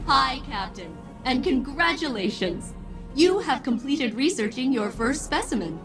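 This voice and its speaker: Chipper female ship computer, high swooping pitch, formal robotic diction, theatrical, petulant, video game, loud music behind. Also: big pitch swings, a little slow, full character voice. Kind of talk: scripted video game character dialogue.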